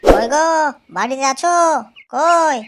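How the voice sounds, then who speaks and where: A man's voice: very high-pitched, animated, takes it slowly, heavily acted. A man voicing an eager, naive young village census worker in a comedy cartoon, chatty and repetitive, with a rural West Bengal Bengali accent. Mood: chatty, questioning. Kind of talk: amateur comedy cartoon dialogue. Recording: loud background noise, clicky home dub.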